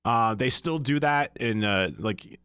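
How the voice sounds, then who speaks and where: A man's voice: mid-range voice, unhurried, fairly bright tone, smooth, no echo, clean studio sound. A man co-hosting a casual radio talk show, chatty and speaking straight to the listeners. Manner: chatty, addresses listeners directly. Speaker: radio co-host.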